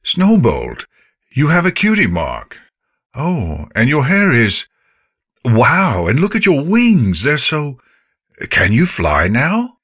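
A man's voice: fairly low pitch, big pitch swings, a little slow, dry recording, dull audio, heavily acted. A man voicing a grieving working stallion father who speaks in ragged, emotional outbursts. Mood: amazed.

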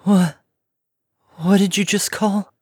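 A small, frightened young girl pony, as a male storyteller puts her on, her voice pushed up high and thin. She sounds trembling and bewildered, close to tears, in a storybook telling. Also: slow, breathy edge, no echo, exaggerated voice. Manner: trembling, bewildered, breaking into sobs. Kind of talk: storybook reading.

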